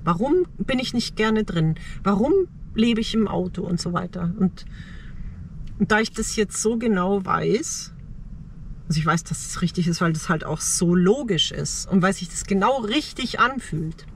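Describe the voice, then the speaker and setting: A middle-aged woman shares personal reflections for a vlog from inside a car. Her medium-pitched voice moves in short, halting, thoughtful phrases. There is some faint noise behind her. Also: expressive. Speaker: travel vlogger.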